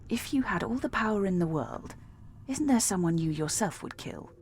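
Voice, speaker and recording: A woman's voice: medium pitch, lively intonation, moderate pace, a little mellow, a little hiss or hum, lightly acted. An actress playing a stern, sardonic female assassin in a video game, with a dry, threatening delivery. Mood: dark storytelling.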